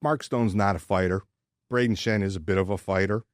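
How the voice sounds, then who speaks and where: A man hosting a casual sports podcast, speaking emphatically with deliberate pauses. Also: deep voice, fairly even intonation, dry recording, studio quality. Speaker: podcast host. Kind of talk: casual sports podcast talk and personal ad read.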